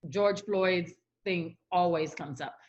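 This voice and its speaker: Thoughtful woman on a dull lo-fi mic. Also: lowish voice. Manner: measured, reflective explaining. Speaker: podcast co-host. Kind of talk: casual chat.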